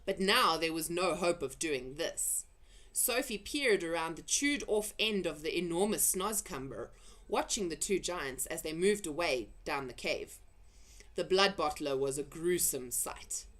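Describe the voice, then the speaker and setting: A woman reading a children's adventure story aloud as an expressive narrator. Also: on the low side, a little slow. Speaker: storybook reader.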